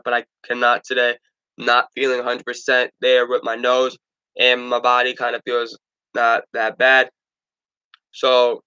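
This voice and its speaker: A man's voice: mid-range voice, bright, forceful tone, clear, resonant tone, highs cut off. A young man hosting a casual sports talk stream, stuffed up from allergies, rambling with stumbles and restarts.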